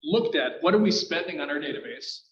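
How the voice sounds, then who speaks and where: A man's voice: on the high side, lively intonation, a little fast, a bit bright, slight background noise. A man leading a real estate team, making emphatic points on a panel. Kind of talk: panel discussion. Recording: echoey room, muffled sound.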